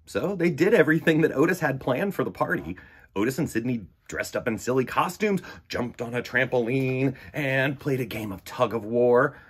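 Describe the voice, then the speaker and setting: A man reading a children's picture book aloud in an expressive, dramatic way, with swells and hushes. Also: medium pitch, moderate pace, slightly rough. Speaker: children's storybook reader. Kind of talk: animated read-aloud narration of a picture book.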